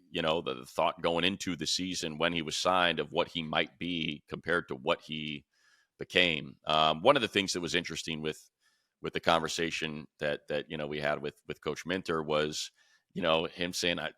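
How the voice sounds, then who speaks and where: A man's voice: extremely low pitch, steady tone, moderate pace, pushed and bright, dry recording. A man hosting casual sports talk in a conversational way, thinking aloud and restarting phrases as he goes. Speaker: sports show host.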